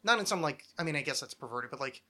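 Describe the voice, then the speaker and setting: A man's voice: mid-range voice, expressive, racing, pushed and bright. A man in his thirties chatting casually and ramblingly as a podcast host, with a wry, mock-dramatic, self-deprecating manner.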